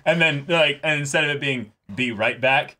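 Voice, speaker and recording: A man co-hosting a reaction show, talking casually and excitedly in an animated, rambling way and acting out imagined dialogue. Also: on the high side, moderate pace. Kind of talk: casual excited chat about an anime episode.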